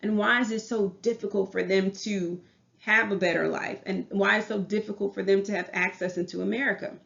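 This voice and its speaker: A woman's voice: medium pitch, fairly even intonation, a little fast, vocal fry, fairly bright tone, highs cut off. A woman in her thirties with a Southern US accent, a teacher giving a class presentation in an earnest way, often restarting her phrases. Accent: Southern US English.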